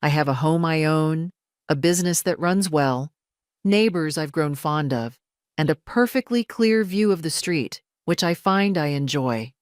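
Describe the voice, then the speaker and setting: An older mother played by a woman narrator speaks in a low, measured voice. She is composed and quietly defiant, in a dry audio-story recording. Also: expressive, no room sound. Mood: calm, firm.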